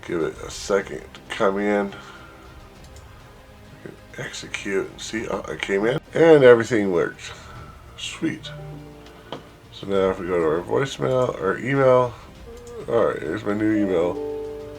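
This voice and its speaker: A man with a fairly low voice leads a casual screen-share tutorial. He talks informally, thinking out loud as he moves from one step to the next, with faint music behind him. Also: expressive, measured pace, long pauses. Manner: informal, thinking aloud while clicking through steps. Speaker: software tutorial presenter.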